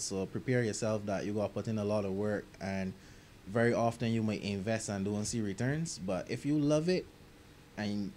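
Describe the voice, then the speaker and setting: Low, mellow Barbadian man. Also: a little hiss or hum. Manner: relaxed, reflective, drops into local grammar. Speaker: music producer. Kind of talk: casual interview answers. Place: recording studio.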